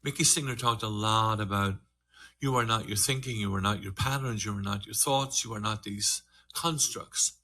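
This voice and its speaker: A man's voice: lowish voice, bright, forceful tone. An older man with an Irish accent, a meditation teacher telling his own story earnestly and reflectively in a group conversation. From Ireland. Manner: earnest, reflective, slow and fast by turns.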